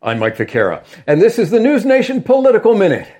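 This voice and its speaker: A man filing a news report as a correspondent, with a measured, formal delivery. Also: high voice, huge pitch swings, dark tone.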